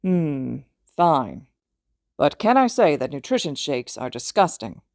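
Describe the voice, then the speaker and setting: A woman's voice: sits low, sing-song, measured pace, darkish tone, no room sound, studio quality, full character voice. A woman voicing a genial older man, a mentor in an audiobook, hearty and slightly formal. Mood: grumbling, playful.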